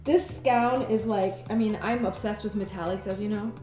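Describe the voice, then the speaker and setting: A woman's voice: medium pitch, steady tone, normal speed, warm tone. A young woman from Germany, a fashion blogger, chatting enthusiastically about her clothes in an interview, with lots of "like" and "you know". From Germany (Munich). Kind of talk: casual interview, showing her wardrobe. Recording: echoey room with music behind.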